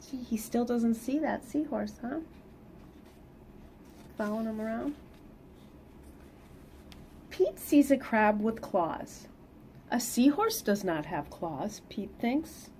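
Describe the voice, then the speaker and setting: Middle-aged woman, children's read-aloud, unhurried, sing-song emphasis, some background noise, small room. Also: on the high side. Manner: animated, sing-song emphasis for young listeners. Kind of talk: expressive children's picture-book reading with casual asides. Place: small plain indoor room.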